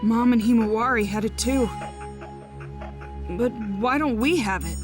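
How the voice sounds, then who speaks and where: A woman's voice: fairly high pitch, measured pace, close to an everyday voice. A woman voicing a brash, earnest young boy ninja in an anime game, with a direct, casual, boyish delivery. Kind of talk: English anime game dub acting. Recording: music behind.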